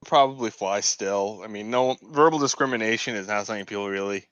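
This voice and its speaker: A man's voice: fairly low pitch, quick pace, fairly bright tone, clean tone, no echo, highs cut off. A man co-hosting a podcast, giving his opinions in casual chat. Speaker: podcast co-host.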